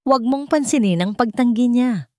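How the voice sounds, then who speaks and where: A woman voicing a betrayed wife in an audio drama story, composed and bitter, giving firm, deliberate instructions. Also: fairly high pitch, dark tone, no echo, clean studio sound, pitched above the performer's own voice, lightly acted. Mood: cold, commanding.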